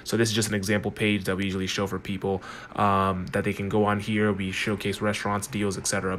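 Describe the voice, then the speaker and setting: A man's voice: lowish voice, a bit bright, slight background noise. A man running a casual walkthrough of a chatbot on his phone, talking loosely with restarts. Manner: quick, chatty, loose with restarts. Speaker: consultant demoing a chatbot. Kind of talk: casual screen-recorded walkthrough.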